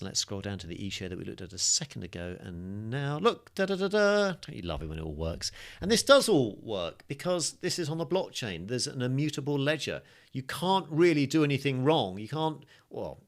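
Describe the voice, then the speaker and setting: Upbeat low-voiced male crypto tutor. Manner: chatty and upbeat, thinking aloud with fillers. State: slightly losing his voice, coughing. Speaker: crypto course instructor. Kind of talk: screen-share tutorial walkthrough.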